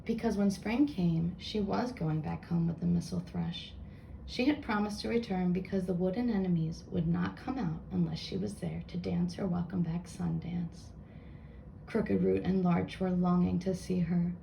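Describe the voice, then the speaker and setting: A woman reading a children's book aloud with expressive narration. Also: fairly low pitch, normal speed, creaky, a little hiss or hum, low-quality recording.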